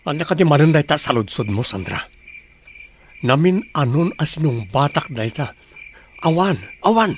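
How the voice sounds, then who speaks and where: An actor playing an evasive, irritable husband in a radio drama, speaking defensively and wearily. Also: male voice, a little high, very animated, normal speed, pauses often, slight background noise. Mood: irritated. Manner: defensive, weary. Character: evasive, irritable working husband, dismissive and weary.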